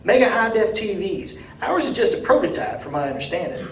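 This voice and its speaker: A man's voice: sits high, sing-song, brisk, pitched above the performer's own voice. A man reading a novel aloud, voicing an eager, curious, folksy small-town Southern mayor who talks in country phrases, recorded from a distance in a room. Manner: drawling country talk with y'all and darn. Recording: distant roomy recording, faint noise.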